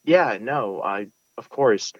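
A man's voice: lowish voice, lively intonation, slow, no room sound, muffled recording. A young man from Brooklyn, earnestly answering interview questions with many restarts and fillers. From United States (Brooklyn). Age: young adult. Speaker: interview guest, valedictorian. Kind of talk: casual interview.

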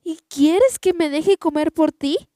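Woman voicing a shrill, indignant runaway tortilla. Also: expressive, slow, no echo, clean studio sound. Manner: high, loud, exclaiming.

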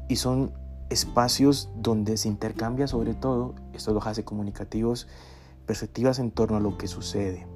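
Low, mellow man lecturing. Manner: measured, deliberate, halting phrasing with pauses. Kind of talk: reflective academic lecture. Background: guitar music.

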